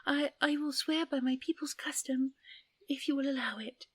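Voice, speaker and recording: Woman as a high-voiced pleading young fae. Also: steady tone, normal speed, close to an everyday voice. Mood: earnest, deferential. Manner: pleading, hesitant, deferential. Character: young female fae, earnest, timid, pleading, deferential. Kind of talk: audio roleplay drama.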